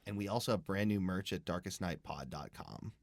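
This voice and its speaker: A man's voice: deep voice, brisk, no echo. A man reading a podcast sponsor message in a casual, informal, slightly hesitant way. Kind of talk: casual ad read.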